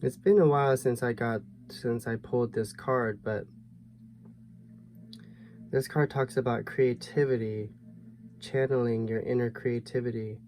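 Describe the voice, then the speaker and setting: A man giving a tarot reading to viewers in a soft, musing way, his voice a little hoarse. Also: medium pitch, fairly even intonation, a little slow, pauses often, a little hiss or hum. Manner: soft, musing, thinks aloud with fillers. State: slightly hoarse, losing his voice. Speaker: tarot reader.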